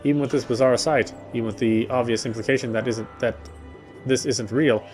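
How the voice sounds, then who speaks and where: A man's voice: medium pitch, steady tone, brisk, darkish tone. A man streaming a visual novel, reading its story aloud and commenting casually. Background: faint game music.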